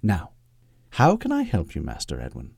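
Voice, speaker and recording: A man's voice: deep voice, wildly swooping pitch, normal speed, creaky, a little mellow, slightly rough, dry recording, studio quality, exaggerated voice. A man voicing a prim, imperious elderly British woman, a secretary in an audiobook story. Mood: haughty, icy.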